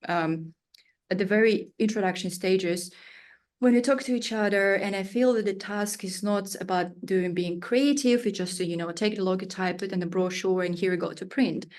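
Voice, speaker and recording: A woman's voice: mid-range voice, normal speed, a little mellow. A woman in her thirties, a native Russian speaker, answering interview questions with searching phrasing, frequent fillers and non-native grammar. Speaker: studio founder, guest.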